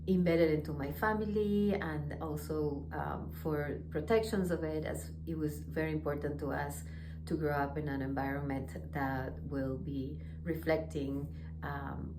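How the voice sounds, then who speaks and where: A woman's voice: low voice, fairly even intonation, unhurried. A woman from Ecuador, a community organizer, answering interview questions in a hesitant way with many fillers and restarts. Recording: echoey, distant, noisy room.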